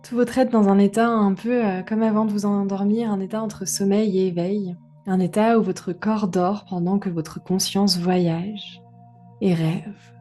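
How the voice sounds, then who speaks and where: Mellow, even-toned woman guiding meditation. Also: medium pitch, unhurried, soft background music. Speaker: yoga nidra guide. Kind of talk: guided relaxation meditation.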